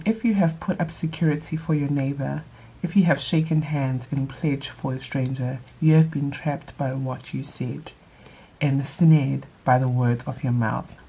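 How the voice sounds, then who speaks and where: A young woman with a nasal, allergy-stuffed voice, reading scripture aloud and adding quick casual asides in a Bible study vlog. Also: rumbling low, warm tone, slightly rough, poor audio. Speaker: vlogger leading a Bible study.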